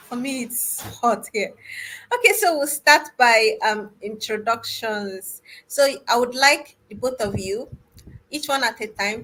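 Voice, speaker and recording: A woman's voice: fairly high pitch, fairly bright tone, low-quality recording. A woman hosting an online panel in a chatty, exclamatory way over a video call.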